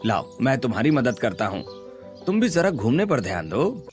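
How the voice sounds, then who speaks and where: A man's voice: mid-range voice, sing-song, normal speed, soft background music, lightly acted. A man voicing a protective young husband in a cartoon, helpful and reassuring. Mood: caring, reassuring. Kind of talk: Hindi cartoon dialogue.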